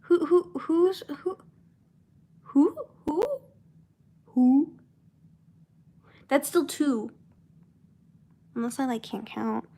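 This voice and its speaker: A young woman chatting casually and unscripted, in a halting, rambling way with trailing thoughts and repeated words. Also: sits high, expressive, warm tone. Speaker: voice actor and comic dub creator chatting with viewers.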